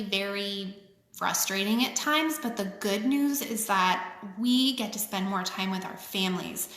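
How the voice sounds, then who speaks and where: A woman reading a picture book aloud to children, with expressive, simple phrasing and chatty asides. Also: fairly high pitch. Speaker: children's author reading her own book. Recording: echoey, reverberant room.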